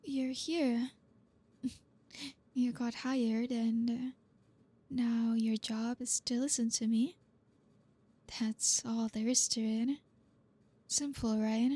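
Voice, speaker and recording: A woman's voice: fairly high pitch, steady tone, slow, pauses often, warm tone. A woman playing a flirty, teasing, dominant boss in an ASMR roleplay, speaking breathily and often slipping into a whisper. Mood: teasing, coaxing.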